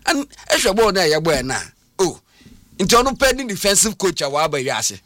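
A man's voice: sits high, very animated, normal speed, pushed and bright, no echo, studio quality. A man discussing football as a pundit, emphatic and repeating his points. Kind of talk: heated sports discussion.

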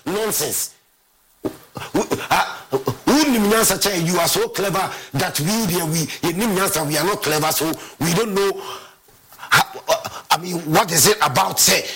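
A Ghanaian man rants with a heated, scornful edge, circling back to the same words again and again. His voice sits high and sounds bright and sharp. Underneath it is very husky and raspy. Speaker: football fan commentator. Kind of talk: angry rant.

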